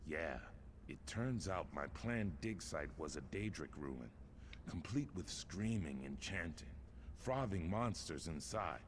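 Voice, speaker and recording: A luckless lizard-man wanderer from a fantasy game, with an exceptionally deep voice. He sounds weary and rueful, a gloomy storyteller down on his luck. There is faint noise behind him. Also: animated, slow pace. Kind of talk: video game character acting.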